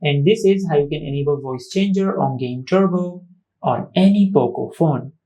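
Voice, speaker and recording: Guy doing a phone tutorial voiceover, bit high pitched, step by step. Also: normal speed, dark tone, poor audio. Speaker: tech tutorial host.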